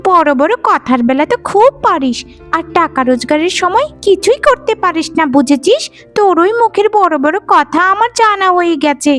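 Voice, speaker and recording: A woman's voice: extremely high pitch, animated, normal speed, a bit bright, soft background music. A woman voicing a spoiled, spiteful young village sister in a cartoon, with a whiny, haughty, scornful manner. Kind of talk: animated folk-tale cartoon voice acting.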